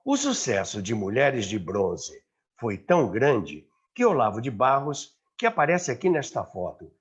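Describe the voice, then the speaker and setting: Husky Brazilian man narrating with lively swings. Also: mid-range voice. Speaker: host and narrator of a radio history show. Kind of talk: scripted documentary narration. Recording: slightly dull audio with background music.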